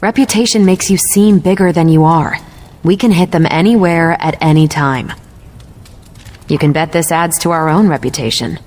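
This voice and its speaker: A woman's voice: low voice, moderate pace, a little mellow, lightly acted. An actress playing a confident military superhero woman in a video game, explaining things in an assured, lightly teasing way. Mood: resolute, teasing. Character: confident adult superhero woman, sharp patient military mentor.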